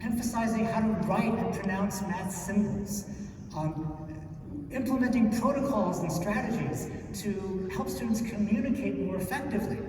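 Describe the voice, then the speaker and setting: A man from New York City giving a short conference talk as a math teacher, relaxed and conversational with frequent "um"s. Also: high-pitched, normal speed. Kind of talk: short prepared talk on stage. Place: large conference hall. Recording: echoey hall, picked up from far off, noisy.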